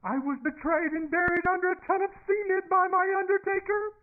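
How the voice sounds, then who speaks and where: A man playing an eerie, cowardly fat henchman in a wrestling video game, taunting and theatrical in a shrill, wailing voice. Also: flat delivery. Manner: theatrical, drawn-out, mock-pitying. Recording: tinny, band-limited, noisy game capture.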